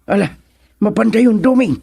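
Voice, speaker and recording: A man playing a jittery, easily frightened village man in an Ilocano radio comedy drama, exclaiming excitably with an Ilocano accent. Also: sits high, moderate pace. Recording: clearly noisy background.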